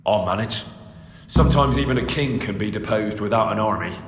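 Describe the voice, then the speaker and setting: An actor playing a young, firm and blunt medieval agent of a lord in a video game cutscene, speaking plainly and assertively. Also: male voice, lowish voice, brisk, close to an everyday voice. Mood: firm, persuasive. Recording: echoey hall reverb, noisy background.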